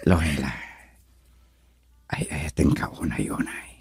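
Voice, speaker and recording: Deep-voiced, earnest devoted son. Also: male voice, slow pace, gravelly, darkish tone, husky, heavily acted. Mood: heartfelt. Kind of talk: Ilocano radio drama acting.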